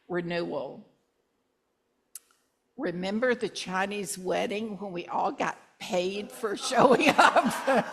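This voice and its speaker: Older lady minister, slow and chatty, joking about old memories in church. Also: mid-range voice. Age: 60s. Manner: slow, warm-humored reminiscing with asides. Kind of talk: reflective sermon with congregation sharing. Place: church sanctuary.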